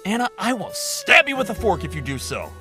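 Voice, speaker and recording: A man's voice: sits high, sing-song, brisk. A man acting a catty, theatrical sci-fi game show producer in an audio drama, gushing and sardonic. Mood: exasperated. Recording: music playing behind the voice.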